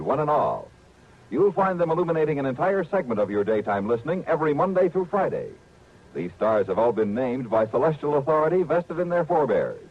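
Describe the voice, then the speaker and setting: A man's voice: mid-range voice, husky. A man with an American accent reading a 1950s radio network promo in a grandiose, formal announcing manner. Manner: grandiose, formal, showy diction. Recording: tinny, narrow-band vintage radio recording.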